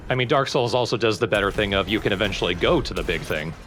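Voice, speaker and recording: A man co-commentating on a video game in relaxed, casual chat. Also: lowish voice, fast talker, a little hiss or hum.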